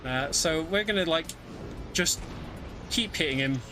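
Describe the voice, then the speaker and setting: A man's voice: on the high side, lively intonation, unhurried. A man giving casual live speedrun commentary in a chatty, hesitant way. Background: video game music.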